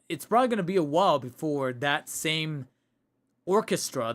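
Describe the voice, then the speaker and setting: A man's voice: mid-range voice, a bit bright. A man in a casual livestream chat, rambling and correcting himself, his voice getting tired. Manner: rambling, self-correcting, chatty. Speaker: streamer. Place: home room. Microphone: desk microphone.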